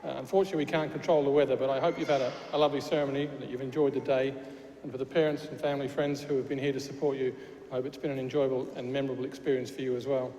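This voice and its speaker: A man's voice: mid-range voice, a little mellow. A man with a Glasgow Scottish accent giving a chatty speech at a graduation ceremony, joking with the crowd and often restarting his sentences. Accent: Glaswegian Scottish. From Scotland. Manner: chatty with frequent restarts and fillers, jokey asides. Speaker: university official addressing graduates. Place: large church hall. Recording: echoey hall with faint noise.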